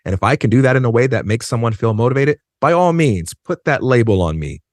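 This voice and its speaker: A man in his forties, a podcast guest talking in an earnest, measured way and slowing down to stress points. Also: mid-range voice, lively intonation, no room sound, clean studio sound. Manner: earnest, measured, slows down for emphasis. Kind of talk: reflective conversation. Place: dark studio. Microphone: studio mic on a stand.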